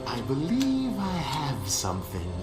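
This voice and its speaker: An actor playing a gloating, power-hungry vizier villain in a video game, with theatrical, mock-polite menace. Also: male voice, mid-range voice, wildly swooping pitch, measured pace. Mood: smug menace. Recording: echoey, loud music behind.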